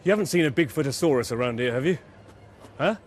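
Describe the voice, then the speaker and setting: A man telling a children's bedtime story in an expressive, dramatic way, leaning on key words. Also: medium pitch, fast talker, slight background noise.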